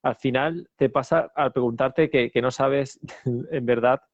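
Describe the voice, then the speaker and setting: A man with a gravelly, creaky voice, recorded dry and slightly dull. As interview host he asks long, meandering questions, loaded with fillers and checks of "right?". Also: mid-range voice, measured pace, dry recording. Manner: rambling questions with fillers and tag questions. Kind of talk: interview conversation.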